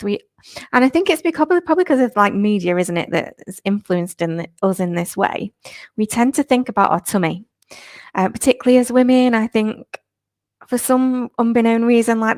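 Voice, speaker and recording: A woman, a fitness instructor, chatting upbeat and slightly croaky on a live stream, laughing often. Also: mid-range voice, dry recording, studio quality. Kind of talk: live-stream chat with viewers. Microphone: new clip-on microphone.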